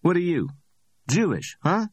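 A man's voice: high voice, huge pitch swings, husky, no room sound, voice pushed up, exaggerated voice. Man putting on a cocky needling hustler. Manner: teasing, drawn-out, challenging. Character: cocky needling middle-aged male hustler.

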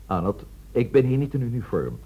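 An actor playing a blunt but courteous police inspector in a radio play, dryly and persistently questioning. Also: male voice, mid-range voice, sing-song, brisk, mellow, slightly rough. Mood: probing.

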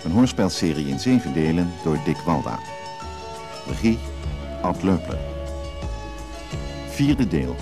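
A man's voice: deep voice, very animated, full character voice. A man formally announcing the titles and credits of a radio drama. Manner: slow, formal. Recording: prominent music behind the voice.

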